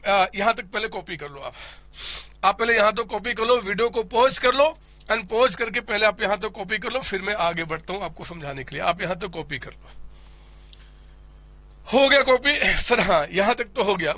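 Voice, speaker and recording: Hoarse guy teaching accounts, high pitched, super bright voice, lively and joking. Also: dry recording. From India. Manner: animated, playful, addresses students as "my child"; voices students' questions himself. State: hoarse with a cold. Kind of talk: classroom lecture.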